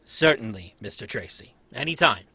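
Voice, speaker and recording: A man's voice: mid-range voice, lively intonation, normal speed, vocal fry, noisy background. A man reading a polite, placating veteran circus manager in a live old-time radio table read. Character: smooth older male circus manager, placating.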